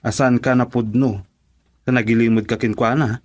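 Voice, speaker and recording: A man doing an Ilocano friend, earnest, persuasive, mid-pitched, dry close sound. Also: little pitch movement, normal speed, no room sound, close to an everyday voice. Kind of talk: radio drama acting.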